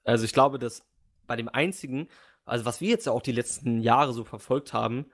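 A young man co-hosting a podcast in casual chat, easygoing, with halting restarts. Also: medium pitch. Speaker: podcast co-host.

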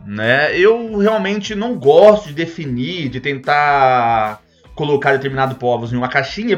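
A man with a Brazilian Portuguese accent hosting a podcast, explaining things to listeners in a casual, chatty way with frequent check-in tags. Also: on the high side. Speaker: podcast host.

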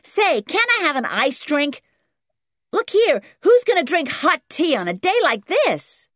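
A woman's voice: high voice, big pitch swings, measured pace, fairly bright tone, dry recording, studio quality, voice pushed up, full character voice. A woman voicing a brash, demanding eleven-year-old American boy in a storybook, with a whiny manner. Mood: cocky.

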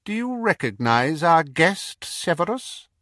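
A man voicing a cold, menacing male dark lord with a quiet, carrying voice, speaking slowly and mockingly. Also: on the high side, big pitch swings, no room sound, studio quality, pitched above the performer's own voice. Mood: sneering. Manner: slow, silky and mocking. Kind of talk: audiobook character voice.

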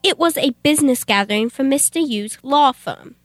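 A woman's voice: high voice, lively intonation, moderate pace. A young woman playing a polite, oblivious, flustered servant in a comic radio play, earnest and deferential. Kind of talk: comic old-time radio theater acting.

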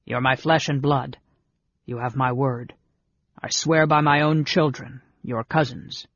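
A male narrator plays a middle-aged warrior uncle in a fantasy audiobook. He speaks with sincere, plain dignity and steady loyalty. The recording is dry and a bit muffled. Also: mid-range voice, a little slow, no echo.

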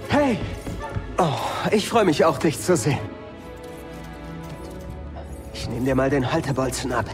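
A man's voice: a little high, very animated, a little fast, over music. A young man acting in an audio drama as a plucky fugitive warrior, wry and defiant. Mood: relieved, friendly.

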